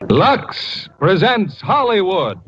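A man's voice: high voice, very animated, slow, a bit bright. A man announcing a show in the style of 1940s radio. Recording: dull vintage radio broadcast recording.

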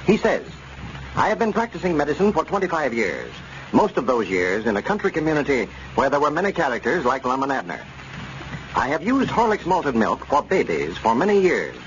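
Animated vintage male radio announcer. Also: medium pitch. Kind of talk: 1930s radio sponsor announcement, reading a letter. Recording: muffled, noisy old radio broadcast.

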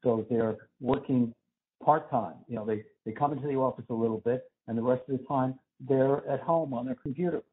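A man's voice: medium pitch, brisk, husky. An older man chatting casually on a family phone call in short, listing remarks. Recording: tinny, narrow jail phone line.

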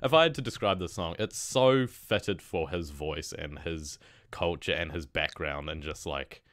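Excitable young New guy, very low. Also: unhurried, no room sound, clean studio sound. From New Zealand. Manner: excitable, laughs often, slangy. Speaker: music reaction host. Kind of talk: excited casual reaction commentary. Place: small room indoors.

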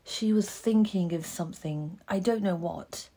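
Woman, children's audiobook narrator, unhurried pace, soft mellow tone, slightly breathy, measured expressive storytelling. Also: fairly low pitch. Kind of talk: children's picture book read-aloud.

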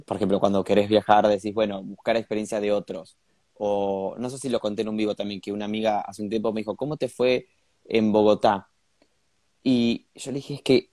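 A man's voice: lowish voice, dark tone, no room sound. A young man hosting a video call interview, thinking aloud in a conversational way. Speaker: host.

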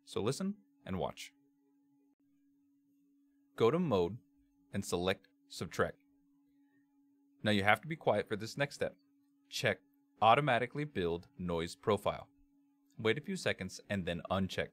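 Man, lowish pitch, bass-heavy voice, tutorial narration, measured step-by-step instructions, computer microphone. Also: lively intonation, a bit bright. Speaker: tutorial presenter. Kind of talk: scripted software tutorial narration. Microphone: computer microphone, screen-capture voice-over.

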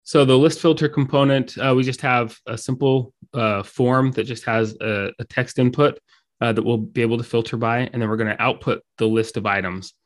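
A man's voice: mid-range voice, fairly even intonation, moderate pace. Guy with a dry throat demoing code on a call, lots of ums, casual. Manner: many ums and restarts, casual explaining. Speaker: meetup speaker. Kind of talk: live coding talk.

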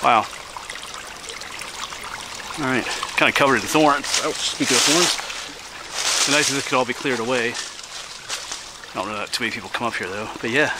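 A middle-aged man from Pennsylvania casually narrating as he hikes a winter forest trail, relaxed and slightly out of breath. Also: mid-range voice. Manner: relaxed, chatty, pauses for breath. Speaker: hiking vlogger. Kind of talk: casual narration while hiking. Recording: outdoor noise on camera mic.

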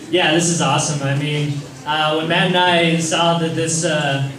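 A young man answers in an easygoing, upbeat way with a slightly high voice. He is recorded from afar in a reverberant hall, with clear background noise. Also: fairly even intonation, unhurried. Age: young adult. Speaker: athlete on a press panel. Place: large echoey indoor hall. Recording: echoey hall recorded from far off, noisy.

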